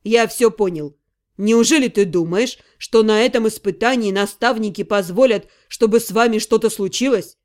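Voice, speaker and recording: A drowsy young necromancer, grumbling in a low, gruff voice, put on by a woman reading a storybook aloud. He sounds tired and short-tempered, with a teasing edge. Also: moderate pace, darkish tone, close to an everyday voice. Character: sleepy grumpy young male necromancer.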